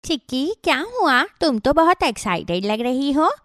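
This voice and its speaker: Small female woodland animal, voiced by a woman: high pitch, dry sound, cheerful, enthusiastic cartoon delivery. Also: very animated, moderate pace, a bit bright, no room sound. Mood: curious. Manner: bubbly, enthusiastic. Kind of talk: Hindi children's cartoon story acting.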